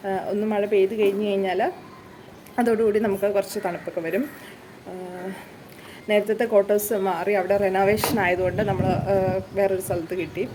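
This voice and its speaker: A woman's voice: medium pitch, steady tone, moderate pace, noisy background. A woman with a Malayali accent narrating her day as a vlogger in a casual, conversational, homely storytelling way. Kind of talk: casual daily vlog narration.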